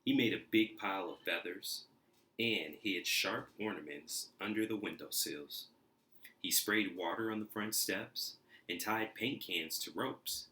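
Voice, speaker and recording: Man, children's story narrator, expressive, unhurried, bright low voice. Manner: expressive, deliberate, acts out sounds. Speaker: storybook reader.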